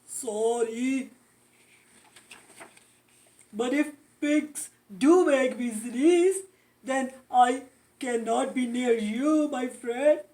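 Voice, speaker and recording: A woman voicing an anxious, melodramatic elephant in a children's storybook, halting and stammering. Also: high voice. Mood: sorrowful, dramatic. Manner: halting, stammering, overdramatic with loud achoos. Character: anxious, melodramatic sneezing elephant, friend of a piggy. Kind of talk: children's storybook read-aloud.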